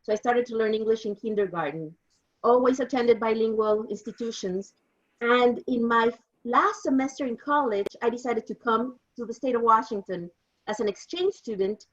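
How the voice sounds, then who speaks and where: A fifty-year-old woman from Mexico presents informally, explaining things as an interpreter would to trainees. Her English carries a Mexican accent from her native Spanish. The voice sits a bit high, and the recording is slightly muffled and lo-fi. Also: normal speed.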